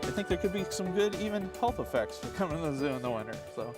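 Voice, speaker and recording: A man's voice: on the high side, sing-song, fast talker, over loud music. A man, a zoo staff member, giving conversational interview answers and explaining things plainly.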